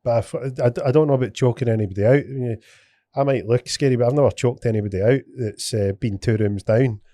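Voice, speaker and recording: A man's voice: mid-range voice, quick pace, warm tone, dry recording. A man with a Scottish accent hosting a casual podcast, rambling with lots of restarts, swearing and bantering. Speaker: podcast host.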